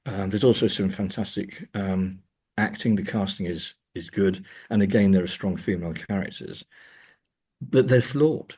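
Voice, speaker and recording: Low, husky-voiced man in discussion. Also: a little mellow. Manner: hesitant, halting delivery with repeated words. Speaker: interview guest, academic author. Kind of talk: thoughtful interview discussion of screen adaptations.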